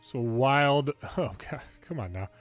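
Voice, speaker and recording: A man reading story dialogue aloud from a video game in a playful way, switching pitch between characters and adding his own commentary. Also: lively intonation, slow pace. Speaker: longplay host reading a game's dialogue aloud. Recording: music behind the voice. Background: game music.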